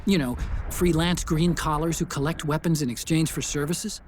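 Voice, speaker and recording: An actor playing an earnest, brainy support ally, explaining a mission helpfully as a scripted game briefing. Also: male voice, on the high side, fairly even intonation, normal speed, noisy background, natural voice. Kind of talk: scripted mission briefing over radio link.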